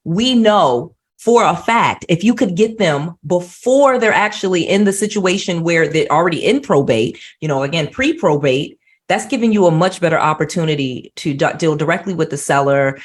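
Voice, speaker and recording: A woman's voice: fairly low pitch, lively intonation, moderate pace. A woman hosting a casual interview, talking conversationally with frequent restarts and check-ins like "you know what I mean". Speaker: host.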